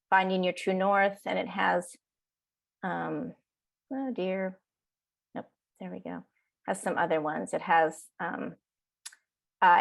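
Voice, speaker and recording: A woman with a gravelly, creaky voice leads an online workshop. She rambles casually, circling back and restarting her sentences. Her pitch sits a little low for a woman. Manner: rambling, full of fillers and restarts. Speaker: social worker leading a workshop.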